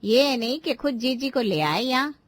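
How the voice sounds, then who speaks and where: A woman's voice: sits high, lively intonation, normal speed. A woman voicing an easily offended older aunt in a cartoon family drama, complaining and reproachful. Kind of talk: animated family drama voice acting in Hindi.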